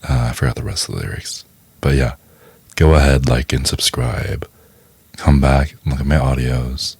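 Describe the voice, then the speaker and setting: A man with a breathy, gravelly voice, an audio creator chatting casually to close out a recording. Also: a bass voice, fairly even intonation, moderate pace, darkish tone, no echo. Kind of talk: casual channel sign-off.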